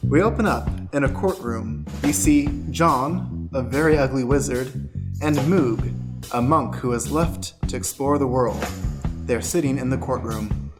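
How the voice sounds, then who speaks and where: A man's voice: mid-range voice, measured pace, loud music behind the voice. A man hosting and explaining an improvised radio play podcast as its stage manager, in a conversational presenting style. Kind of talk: friendly scripted-style show introduction and explanation.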